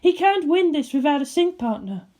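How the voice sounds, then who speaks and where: A woman's voice: high voice, very animated, normal speed, mellow, voice pushed up. A woman acts an analytical woman scientist with a raised, tense voice. She is clipped, technical and grimly urgent, in audio story style. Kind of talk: dramatic fan fiction reading.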